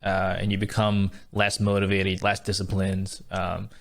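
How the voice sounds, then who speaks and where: A man with a Scottish accent speaks in a fairly low register. He is hosting an interview podcast and talks in a conversational way, as if exchanging thoughts with his guest. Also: completely flat delivery, a little slow, creaky. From Scotland.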